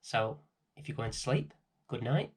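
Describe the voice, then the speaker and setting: A man reading a children's bedtime story aloud as host, in an expressive, dramatic, theatrical manner. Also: medium pitch, husky. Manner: dramatic, theatrical, deliberate phrasing.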